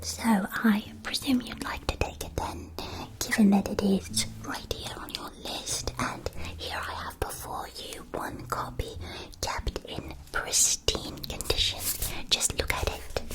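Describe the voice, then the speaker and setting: A woman's voice: mid-range voice, fairly even intonation, measured pace, close to an everyday voice, poor audio. A woman playing a courteous, doting shopkeeper of a witch school in an ASMR roleplay, speaking in a genteel, old-fashioned way. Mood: doting, persuasive.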